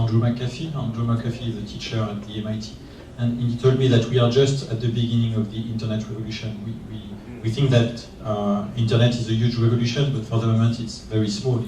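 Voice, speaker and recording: A man's voice: on the low side, background noise. A male panelist in a conference discussion, speaking hesitantly and repeating words. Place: conference room. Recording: echoey room, voice from far off.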